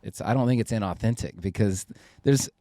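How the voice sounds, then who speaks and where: A man co-hosting a podcast, speaking in a relaxed, thoughtful way. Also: lowish voice, fairly quick, no echo. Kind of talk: casual conversation.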